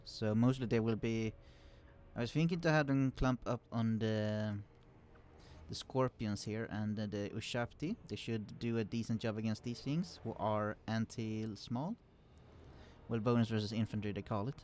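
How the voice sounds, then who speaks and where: A man casually commenting on a strategy game he is playing, explaining units with many fillers and restarts, his voice kept quiet and turned down in the recording. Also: lowish voice, moderate pace. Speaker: let's play host. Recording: quiet voice, turned down to hide computer hum.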